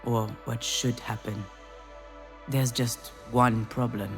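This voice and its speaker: An actress playing an introspective, wry woman in a narrative video game, speaking in a measured way over music. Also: a bass voice, fairly even intonation. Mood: brooding, anxious. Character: Junon, troubled failed screenwriter, wry introspective woman and mother. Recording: music under the voice.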